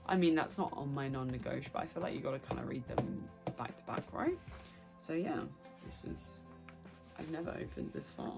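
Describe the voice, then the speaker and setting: A young British woman chatting casually and excitably to her viewers as a book vlogger. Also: very deep voice, a little fast, vocal fry, loud music behind the voice. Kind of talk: casual chatty vlog to camera.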